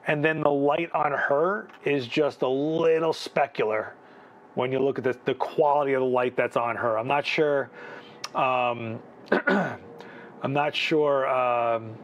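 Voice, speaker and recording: A man's voice: mid-range voice, steady tone, slow pace, highs cut off. A man hosting a live photo critique, chatting casually, thinking aloud with restarts and asking "right?", his voice a bit worn. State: losing his voice. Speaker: photography livestream host.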